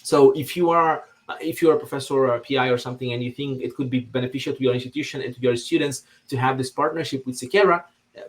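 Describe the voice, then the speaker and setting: Brazilian man, native Portuguese speaker, enthusiastic. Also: mid-range voice. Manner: enthusiastic, repeats words while searching for phrasing. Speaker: guest. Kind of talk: casual podcast discussion.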